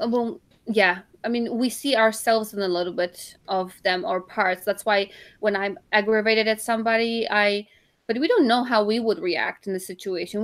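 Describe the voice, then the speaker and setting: A woman speaking on a casual online panel, nasal from a cold and hesitant, with halting starts. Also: fairly high pitch. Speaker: panel guest.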